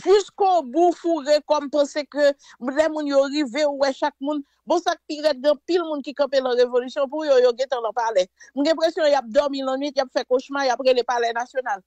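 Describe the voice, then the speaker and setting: High-voiced Haitian woman, fervent. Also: steady tone, fairly quick, few pauses, darkish tone, no echo. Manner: fervent, emphatic, mixes French with Creole and English phrases. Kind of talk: impassioned political discussion.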